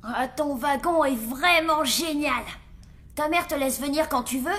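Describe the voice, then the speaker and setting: A woman playing a mischievous, cheeky zombie child in a French animated cartoon, playful and cocky. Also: high-pitched, a little slow. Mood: upbeat. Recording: loud music under the voice.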